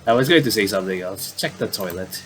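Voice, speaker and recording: A man doing casual game commentary, reading on-screen dialogue aloud and adding halting asides. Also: on the low side, animated, fairly bright tone, a little hiss or hum. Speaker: gamer doing playthrough commentary.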